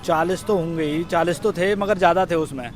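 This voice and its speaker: A man's voice: fairly high pitch, brisk, soft background music. A young man answering casually as an exam candidate, hesitant and searching for words. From Bulandshahr, Uttar Pradesh, India. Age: young adult. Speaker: exam candidate being interviewed.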